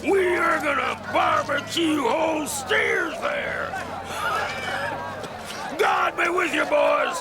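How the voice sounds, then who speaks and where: An actor playing a feverish, dying colonel in a radio drama, rambling and rallying his boys in a strained, gravelly shout. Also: very high-pitched, huge pitch swings, slow pace, pauses often, heavily acted. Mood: fervent, rallying. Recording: noisy outdoor scene, crowd behind.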